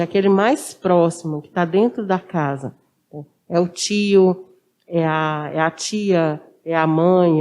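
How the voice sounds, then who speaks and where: Mellow, explanatory woman guest. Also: lowish voice. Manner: didactic, repeats and rephrases for emphasis. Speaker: interview guest, social worker. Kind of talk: explanatory interview talk.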